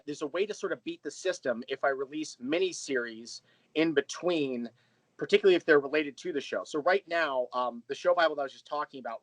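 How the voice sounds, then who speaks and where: A man's voice: mid-range voice, a bit bright, dry recording, rough recording. A man speaking as an interview guest, explaining things in detail in a conversational way. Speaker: interview guest, audio drama creator.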